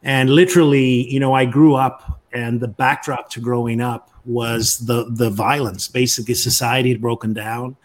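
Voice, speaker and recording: A man, a podcast guest telling his life story in a measured way with frequent pauses. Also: medium pitch, fairly even intonation. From Northern Ireland. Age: 50s. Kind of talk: storytelling interview.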